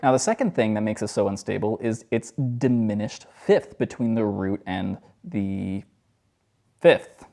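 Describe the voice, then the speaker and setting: A man with a low voice giving a casual music theory lesson in a loose, joking, self-deprecating way. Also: creaky, a little mellow. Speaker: music teacher.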